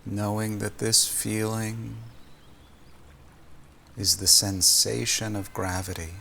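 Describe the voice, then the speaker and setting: A man with a fairly low, gravelly voice guides a body scan meditation. He speaks very slowly and soothingly, leaving long pauses between gentle phrases. A faint noise sits behind him. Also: little pitch movement.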